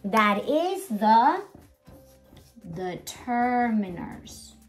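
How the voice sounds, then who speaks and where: High-pitched woman teaching, expressive and unhurried. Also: faint music behind. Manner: expressive, emphatic, slow deliberate enunciation with rhetorical questions. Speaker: English teacher presenting a video lesson. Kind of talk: animated scripted grammar lesson. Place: small indoor room at a whiteboard.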